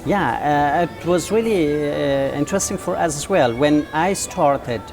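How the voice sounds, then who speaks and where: Earnest Afghan man, halting speech. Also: a little high, over music. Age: 40s. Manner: earnest, halting, many drawn-out fillers. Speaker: teacher and school founder. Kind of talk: interview answers.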